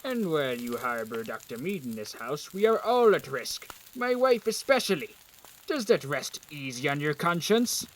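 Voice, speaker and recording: A man's voice: fairly high pitch, sing-song, normal speed, slight background noise, rough recording. A man playing a resentful working villager in a period audio drama, sardonic and challenging in manner. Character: bitter, resentful lower-class village husband in plague times.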